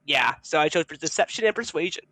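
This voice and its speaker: A man's voice: on the high side, expressive, quick pace, bright, forceful tone, no echo. A man, a player in a tabletop game, chatting casually about his character and laughing often. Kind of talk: casual chat between game sessions.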